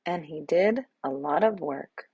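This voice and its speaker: A woman's voice: low-pitched, lively intonation, measured pace, gravelly, a little mellow, breathy edge, no room sound, muffled recording. A woman reading a children's picture book aloud expressively, as a storyteller. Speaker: read-aloud host, storyteller.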